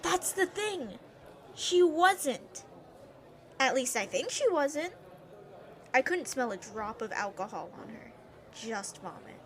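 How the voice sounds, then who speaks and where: An actress playing a nosy, sharp-tongued young maid in a castle. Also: female voice, high voice, very animated, takes it slowly, pauses often, heavily acted. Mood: gossipy. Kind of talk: audio drama acting.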